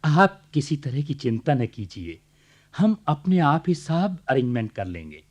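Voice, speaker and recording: An actor playing a polite, eager club president in a radio play, hesitant and deferential, mixing in English phrases. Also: male voice, mid-range voice, very animated, unhurried.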